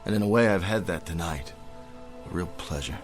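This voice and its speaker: An actor playing a kindly, weary old street Santa in a radio drama, speaking in a gentle, folksy, musing way. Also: male voice, deep voice, fairly quick, over music, lightly acted. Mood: wistful, sincere.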